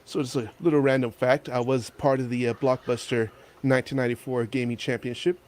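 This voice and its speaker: A man's voice: mid-range voice, little pitch movement, a little fast, darkish tone. A man chatting casually as a guest on a gaming panel. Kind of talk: casual chat.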